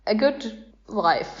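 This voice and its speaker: Woman interviewer chatting casually, takes her time, slightly dull lo-fi audio. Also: mid-range voice. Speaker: podcast host interviewing cast members.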